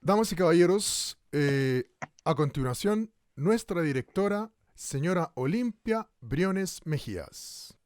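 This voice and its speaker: A formal radio announcer. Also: male voice, on the high side, animated, slow, darkish tone, dry recording, clean studio sound. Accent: Chilean Spanish. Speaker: station announcer.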